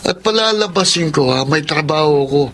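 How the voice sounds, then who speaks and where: A man's voice: a little high, animated, moderate pace, a bit bright. A man playing a sly, deceitful suitor in a Tagalog radio drama. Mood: sly, scheming. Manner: sly, conniving, stammers when cornered. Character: scheming deceitful male suitor conning a woman.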